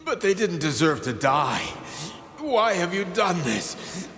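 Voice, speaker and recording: Agitated high-pitched scientist, echoing hall. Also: male voice, very animated, slow pace, a bit bright, full character voice. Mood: appalled. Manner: emotional, urgent, pleading. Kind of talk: dramatic video game cutscene acting. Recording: echoey hall reverb with faint noise.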